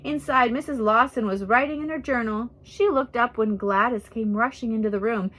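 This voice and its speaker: A woman reading a biographical storybook aloud as scripted narration, in an expressive storytelling manner. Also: a little high, moderate pace. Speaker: audiobook reader.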